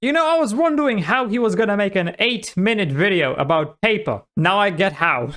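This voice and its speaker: Male reactor, higher pitch, chatty and bemused, casual commentary. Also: lively intonation, brisk, a bit bright. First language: Polish. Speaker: YouTube reactor.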